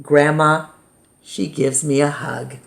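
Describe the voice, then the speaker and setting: A woman reading a children's picture book aloud as storytime reader, with dramatic pauses and emphasis. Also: sits low, level tone, gravelly.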